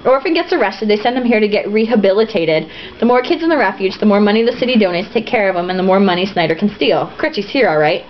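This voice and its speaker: A woman's voice: mid-range voice, lively intonation, fast, lightly acted. A woman voicing a street-wise newsboy, assured and matter-of-fact, in a storybook read-aloud. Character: street-wise, confident newsboy explaining the system. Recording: muffled, lo-fi home recording with background noise.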